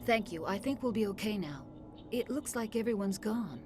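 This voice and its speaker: A woman's voice: medium pitch, animated, moderate pace, music in the background, lightly acted. A woman voicing a shaken middle-aged female lead scientist who explains things earnestly and apologetically.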